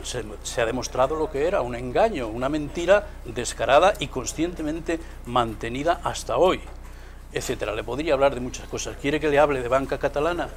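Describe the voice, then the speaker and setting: A man from León arguing forcefully as a panelist in a televised political debate. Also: mid-range voice, slight background noise. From León, Spain. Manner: forceful, polemical.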